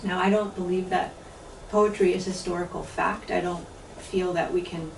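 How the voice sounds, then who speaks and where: A woman in her fifties, an author and translator, talks about her book in a measured, thoughtful way, pausing often with "um"s. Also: fairly low pitch, fairly even intonation, creaky, slight background noise. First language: English. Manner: measured, thoughtful, with frequent pauses and "um"s. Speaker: author and translator giving a talk. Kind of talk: book talk with poetry reading. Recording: echoey room, voice picked up from a distance.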